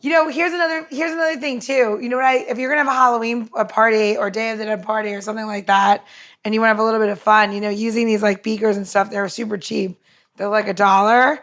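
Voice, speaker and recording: A woman's voice: fairly high pitch, fairly bright tone. A woman podcast co-host casually walking listeners through making a cocktail, with a chatty, loose delivery full of fillers.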